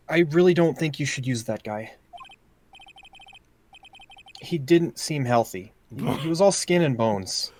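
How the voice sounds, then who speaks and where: A man's voice: on the high side, darkish tone, a little hiss or hum. A man playing a young defense lawyer, earnest, unsure and sympathetic, in an amateur game voice-over. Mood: hesitant.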